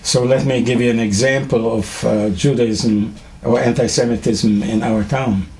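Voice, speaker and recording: An older man from Czechoslovakia whose first language is German, telling students about his early life in a halting way, with many fillers and restarts. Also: mid-range voice, steady tone, dark tone. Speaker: Holocaust survivor speaking to students. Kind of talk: testimony to a school class.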